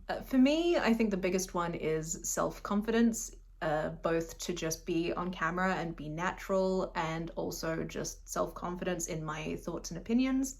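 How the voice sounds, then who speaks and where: A woman in her thirties with a slightly unusual Australian accent, chatting casually to camera in a rambling, self-deprecating way. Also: lowish voice. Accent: Australian, a bit unusual. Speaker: booktube vlogger. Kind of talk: casual direct-to-camera vlog.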